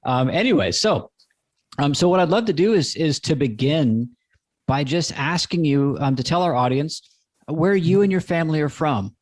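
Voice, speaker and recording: A man hosting a podcast, reading a measured intro and then chatting with a guest in a slow, measured way. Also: a little high, expressive, darkish tone, no room sound. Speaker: podcast host. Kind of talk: scripted intro, then casual interview.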